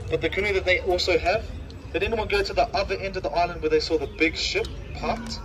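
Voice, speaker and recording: A man's voice: high-pitched, steady tone, fast talker, fairly bright tone, a little hiss or hum, rough recording. A man working as a tour guide, giving explanatory commentary to a group outdoors and often putting questions to his listeners. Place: outdoors on a canoe tour boat.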